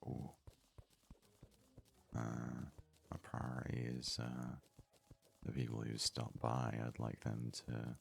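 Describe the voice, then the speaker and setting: A man giving soft-spoken ASMR game commentary in an unhurried, hesitant way, as if thinking aloud. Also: a bass voice, completely flat delivery, gravelly. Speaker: gaming video host.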